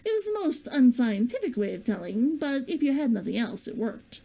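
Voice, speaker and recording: A woman streamer and author with an expressive way of talking, chatting to viewers and reading a story aloud. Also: a little high, a little fast, gravelly. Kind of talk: chatty greeting, then storybook read-aloud.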